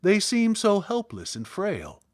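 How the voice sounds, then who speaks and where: A man voicing a gentle, tender-hearted cowardly lion in a storybook, with a wistful manner. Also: sits high, huge pitch swings, takes it slowly, pitched above the performer's own voice, heavily acted.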